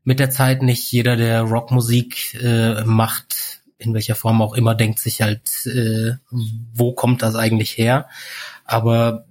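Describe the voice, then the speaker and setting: A man in his forties telling stories in a relaxed, rambling way, full of fillers, as a guest in a casual chat. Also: medium pitch, a little slow. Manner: relaxed storyteller, many fillers like "halt" and "irgendwie". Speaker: podcast guest.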